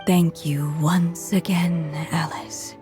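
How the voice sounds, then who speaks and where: A gentle, motherly storyteller in a video game, near the end of her life. She speaks very slowly in a lowish, wistful voice, with tender pauses. Loud music plays underneath. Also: fairly even intonation. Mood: fading, grateful. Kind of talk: scripted video game voice acting.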